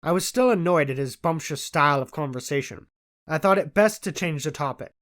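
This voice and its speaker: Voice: medium pitch, animated, a little fast, fairly bright tone. An audiobook reader giving scripted first-person narration of a story.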